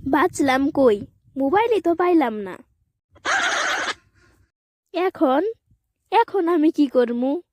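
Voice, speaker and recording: A woman's voice: high voice, animated, slow, long pauses, darkish tone. A woman voicing a stubborn, playful young girl in a comic cartoon, whiny and argumentative, with a Bangladeshi accent.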